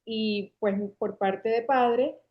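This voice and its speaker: A woman's voice: a little high, very slow. A woman from Caracas with a Venezuelan accent, in her forties, telling her story at length as an interview guest. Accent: Venezuelan Spanish from Caracas. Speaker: guest, marketing agency founder. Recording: dull lo-fi sound.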